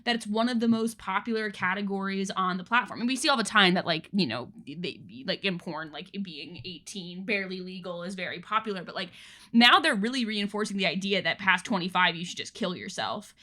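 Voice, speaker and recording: A woman co-hosts a podcast in loose, casual conversation. Her voice is bright and forward. She talks in animated bursts, full of fillers and restarts. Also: medium pitch, fairly quick. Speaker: podcast co-host.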